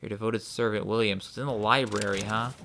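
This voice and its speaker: A man casually commenting on a game as he plays, thinking aloud and reading the notes he finds out loud. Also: lowish voice, fairly even intonation, fast talker, vocal fry, slight background noise. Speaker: let's play host.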